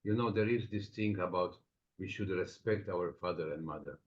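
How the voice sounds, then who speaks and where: Low-voiced reflective man with Estonian accent. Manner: reflective, explains with everyday examples, frequent pauses. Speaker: psychotherapist. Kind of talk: interview answers. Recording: dull lo-fi sound.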